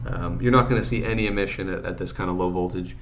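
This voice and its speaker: A man giving an unscripted hands-on repair demonstration, thinking aloud in a halting way as he explains each step. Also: sits low, background noise. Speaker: electronics repair hobbyist presenter.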